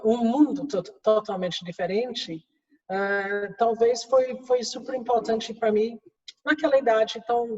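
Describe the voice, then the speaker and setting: A woman's voice: mid-range voice, mellow. A middle-aged woman from England with an English accent, speaking a second language in an interview and answering with many restarts and fillers. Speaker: guest executive. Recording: distant, roomy, dull sound.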